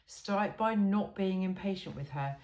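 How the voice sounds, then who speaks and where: A woman's voice: mid-range voice, unhurried, a little mellow. Echoey, wry woman reading aloud. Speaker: amateur reader of a humorous self-help book. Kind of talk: informal book read-aloud with chatty asides. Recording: roomy, echoey sound.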